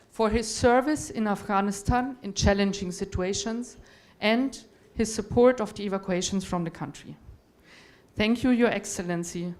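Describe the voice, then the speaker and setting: A woman from Europe moderating a conference panel, giving formal, courteous introductions of the speakers. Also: mid-range voice. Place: conference hall.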